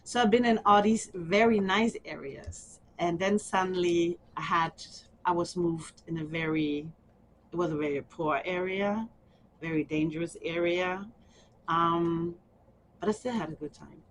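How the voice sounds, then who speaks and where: A middle-aged woman from Germany with a German accent, speaking softly and haltingly with small laughs in an oral history interview. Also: fairly low pitch, a little hiss or hum, low-quality recording. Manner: soft, halting, with frequent ums and small laughs.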